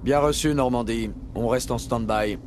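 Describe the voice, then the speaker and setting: An actor playing a stern, controlled military commander in a video game, terse and businesslike. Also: male voice, mid-range voice, normal speed, noisy background, natural voice. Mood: brisk, official. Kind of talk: dubbed video game cutscene acting.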